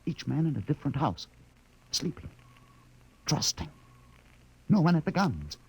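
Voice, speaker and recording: Male lead: frightened space captain, husky voice with wide swings, intense suspense, vintage radio drama. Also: on the high side, very animated, unhurried, highs cut off, full character voice. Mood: dread, grim suspicion. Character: frightened spaceship captain realizing his crew is trapped. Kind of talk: 1950s radio drama acting. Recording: vintage 1950 radio recording.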